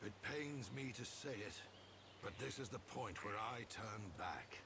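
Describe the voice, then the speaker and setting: Solemn, low-voiced companion. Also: male voice, animated, measured pace, noisy background. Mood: regretful. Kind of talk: video game cutscene acting.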